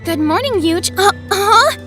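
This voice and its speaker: A woman playing an expressive, girlish schoolgirl in an anime-style audio drama. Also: very high voice, normal speed, soft background music, exaggerated voice. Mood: cheerful. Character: sweet, concerned schoolgirl hiding a scheming streak.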